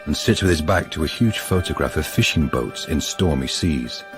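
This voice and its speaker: A man's voice: a bass voice, lively intonation, normal speed, music in the background. A man narrating a radio drama in a scripted storytelling style.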